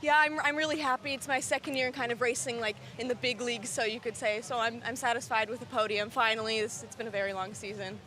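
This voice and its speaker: A woman's voice: a little high, fairly even intonation, quick pace, hardly any pauses, bright, forceful tone. A young woman, an athlete chatting fluently in a casual post-race interview. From lived long in Canada. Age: young adult. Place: outdoors at a race venue.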